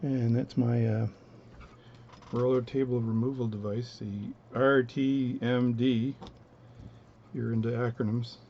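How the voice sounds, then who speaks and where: A man with a low voice talking through his watch repair at a home workbench, relaxed and rambling as he narrates each step. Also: takes it slowly, vocal fry, pauses often, dull audio, a little hiss or hum. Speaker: watchmaking hobbyist demonstrating. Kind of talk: casual bench demonstration, talking while working.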